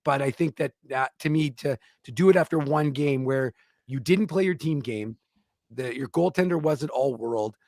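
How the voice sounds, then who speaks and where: Sports-talk co-host, male, mid-pitched, opinionated, stumbles and restarts, dry close sound. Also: normal speed, a little mellow, no echo. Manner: opinionated, frequent restarts and repeated words. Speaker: co-host of a hockey talk show.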